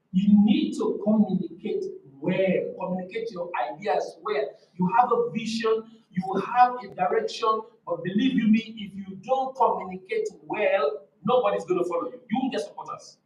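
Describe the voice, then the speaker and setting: A man with a loud, forceful voice giving an emphatic, didactic motivational talk at a conference, repeating key phrases and asking rhetorical questions. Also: high voice. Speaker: conference speaker on leadership. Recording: echoey room, distant microphone.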